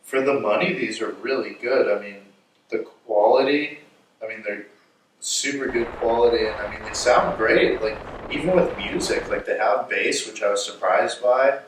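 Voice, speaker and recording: A young man in casual, slangy conversation on a call, relaxed in his living room. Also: fairly low pitch, normal speed. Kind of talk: casual phone chat. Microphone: far camera. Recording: very echoey room, far from mic, noisy.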